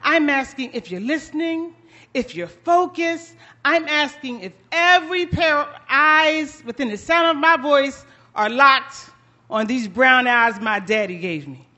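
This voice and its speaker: A woman performing high-energy interactive folktales for children, dramatic and emphatic, with deliberate pauses. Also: expressive, sharp tone, highs cut off. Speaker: children's storyteller.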